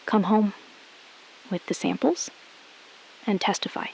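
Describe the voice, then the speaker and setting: A woman playing a resolute, shrewd astronaut in an audio drama, speaking in a controlled, deliberate way and repeating phrases for emphasis. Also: medium pitch, vocal fry, a little mellow, slight background noise, low-quality recording. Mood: quiet resolve. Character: resolute, shrewd female astronaut exposing a cover-up. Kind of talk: scripted audio drama, hushed dramatic phone-call acting.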